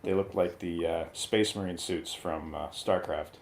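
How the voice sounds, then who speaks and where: Low-voiced man giving casual opinions. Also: fairly even intonation, a little slow, a little hiss or hum. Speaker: guest film reviewer. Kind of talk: casual film discussion.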